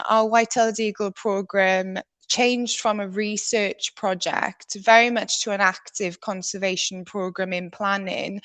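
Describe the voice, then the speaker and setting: A woman's voice: mid-range voice, a bit bright, no echo. Chatty South Welsh woman presenting. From South Wales. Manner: chatty, many ums and restarts. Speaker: raptor biologist giving a webinar talk. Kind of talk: presentation over screen share. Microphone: video call.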